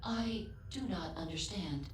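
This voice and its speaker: A loyal female android speaks in a lowish, echoing voice over faint noise. She is formal, precise and matter-of-fact, a measured, literal-minded ship's assistant from a sci-fi game. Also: expressive. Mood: clinical, deadpan. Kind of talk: scripted video game voice acting.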